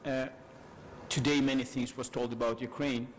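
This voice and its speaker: A man's voice: mid-range voice, flat delivery, normal speed, a little hiss or hum. Man, from Afghanistan, panel speech, emphatic, repeats words, medium voice. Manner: earnest, emphatic, repeats words while searching for phrasing. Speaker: parliamentarian panelist.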